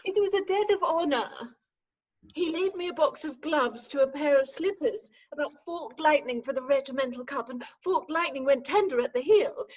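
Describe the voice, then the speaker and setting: A woman's voice: high-pitched, normal speed, close to an everyday voice. A woman playing a self-possessed, indignant young lady in a staged reading of a Victorian farce, haughty and theatrical. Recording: dull remote call audio.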